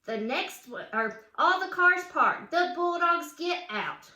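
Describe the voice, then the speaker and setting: A woman's voice: very high voice, animated, takes it slowly, sharp tone. A woman reads a children's picture book aloud with chatty asides, putting questions and emphatic cheers to young listeners. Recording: roomy, echoing room sound.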